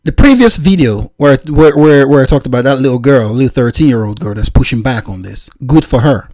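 Animated, laughing man ranting. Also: mid-range voice, brisk. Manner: laughs often, exclaims, rants. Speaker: reaction video host. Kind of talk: mocking reaction commentary.

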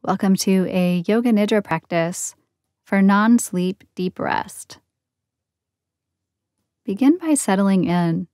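A woman leading a guided meditation in a soothing, gentle, unhurried manner with long pauses between phrases. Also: mid-range voice, dry recording, studio quality. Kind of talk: guided yoga nidra meditation.

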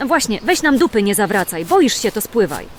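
A woman's voice: mid-range voice, very animated, normal speed, a little hiss or hum, natural voice. A woman playing a confident, practical, tough-talking outlaw in a fantasy audio drama. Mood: scornful. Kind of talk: fantasy radio drama acting.

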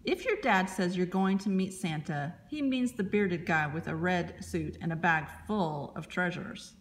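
A woman reading a children's picture book aloud as a librarian, in expressive, deliberate narration. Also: lowish voice, a little hiss or hum.

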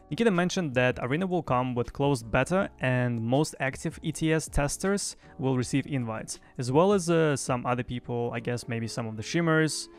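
A man's voice: mid-range voice, vocal fry. A young man presenting a gaming news recap in a semi-scripted style. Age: young adult. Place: small home streaming room. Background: faint music.

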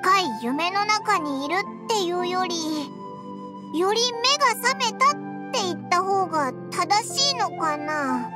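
Tiny naive fairy, squeaky high voice, boyish cutesy talk, anime game, prominent music. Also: female voice, lively intonation, unhurried. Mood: puzzled. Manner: boyish, blunt, cutesy speech. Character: tiny childlike floating fairy companion, naive and eager. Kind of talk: Japanese anime game voice acting. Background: prominent background music.

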